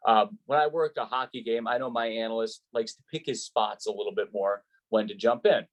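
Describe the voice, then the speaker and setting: A man's voice: lowish voice, fairly even intonation, brisk. Earnest male sports announcer giving advice. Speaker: sports play-by-play announcer being interviewed. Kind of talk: conversational interview, giving advice.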